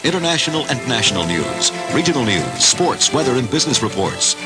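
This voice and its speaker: A man's voice: medium pitch, big pitch swings, a little fast, low-quality recording. An American man delivering a scripted television promo voice-over as an announcer. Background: loud music.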